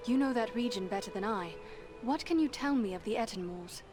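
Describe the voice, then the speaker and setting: A poised, serious elf woman from a fantasy game, acted by a woman speaks formally and calmly. Her voice is somewhat high, over soft music. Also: brisk, natural voice. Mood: inquiring. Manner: formal, composed. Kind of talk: fantasy game cutscene acting.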